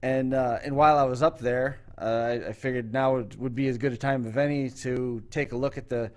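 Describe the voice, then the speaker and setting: A man's voice: medium pitch, fairly even intonation, a little fast, a little mellow. Male talk show host, mid-range voice, casual and rambling, takes his time through a story. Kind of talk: casual chat.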